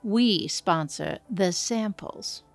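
An actress playing a stern studio boss in an audio drama, measured, cutting and condescending. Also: female voice, mid-range voice, creaky, faint music behind. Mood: cool. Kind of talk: scripted audio drama acting.

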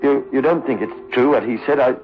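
A man's voice: mid-range voice, a little fast, faint music behind, close to an everyday voice. An actor playing a tormented, obsessive young man with a stammering, pleading, overwrought delivery in a 1960s radio horror drama. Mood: anxious, relieved. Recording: muffled old radio recording.

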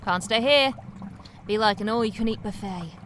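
A woman's voice: mid-range voice, moderate pace, background noise, close to an everyday voice. An actress playing a blunt, no-nonsense woman in an audio drama, with a folksy, sardonic manner. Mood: stern. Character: Millie, blunt, no-nonsense woman minding small animals.

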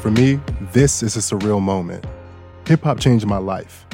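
A man hosting a news podcast, narrating a personal story in a relaxed, lightly joking way. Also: lowish voice, moderate pace, over music. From Bangkok, Thailand. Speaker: guest host and audio engineer.

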